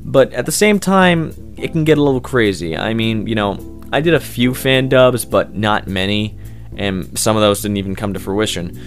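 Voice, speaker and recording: A man's voice: lowish voice, animated, normal speed, soft background music. A young man, a hobby voice actor, answering interview questions in a loose, rambling way, full of fillers and restarts.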